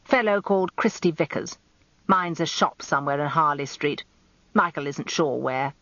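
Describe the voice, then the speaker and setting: A woman voicing a gruff older male barrister husband, terse, dry and sardonic, in a radio drama. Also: deep voice, moderate pace, a bit bright, no room sound, muffled recording. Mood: dry, curt.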